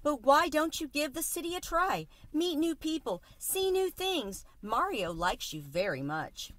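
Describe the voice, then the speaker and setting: A woman's voice: high-pitched, sing-song, a little slow, a bit bright, voice pushed up, heavily acted. A woman voicing a talkative male city mouse in a children's storybook, breezy and scornful, with casual, emphatic big-city patter. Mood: eager.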